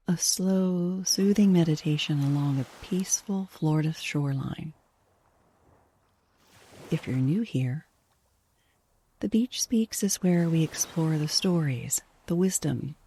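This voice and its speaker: Slow, breathy woman guiding sleep meditation. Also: sits low. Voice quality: soft, breathy, hushed. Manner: soothing, gentle, unhurried. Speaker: host and meditation guide. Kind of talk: guided sleep meditation narration.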